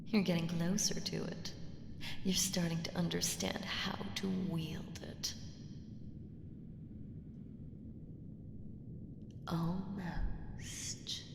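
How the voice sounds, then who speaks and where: An ancient evil female power, played by a woman, speaks in a cavernous, long reverb. Her voice is lowish and gravelly at the edges, haughty and teasing, sometimes sinking to a whisper. It is a coolly menacing audio roleplay patron who condescends with every deliberate phrase. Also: heavily acted. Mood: coolly encouraging. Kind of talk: slow ASMR audio roleplay monologue. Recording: echoing long hall reverb, ambient hiss.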